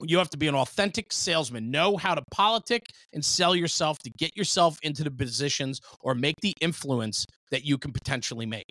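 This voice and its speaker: A man's voice: on the high side, a little fast, hardly any pauses, bright, forceful tone, no room sound. A man in a conversational podcast discussion, making his points emphatically and persuasively. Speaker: podcast speaker.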